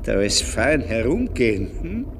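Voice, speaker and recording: Radio drama: superstitious Austrian villager, unhurried delivery with wildly swinging pitch, brooding and uneasy. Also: male voice, very animated, a little hiss or hum. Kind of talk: German-language radio drama acting.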